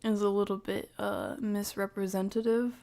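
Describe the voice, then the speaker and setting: A woman streamer giving casual reaction commentary, measured and hedging as she thinks aloud. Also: medium pitch, little pitch movement, vocal fry, a little mellow.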